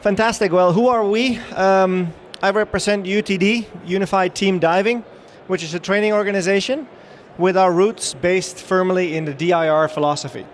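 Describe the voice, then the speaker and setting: A man's voice: high-pitched, fairly even intonation, normal speed. Upbeat Dutch-accented man presenting on a show floor. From Netherlands. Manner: chatty, upbeat, with frequent fillers and restarts. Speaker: dive training director giving a trade-show talk. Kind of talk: live presentation to an audience.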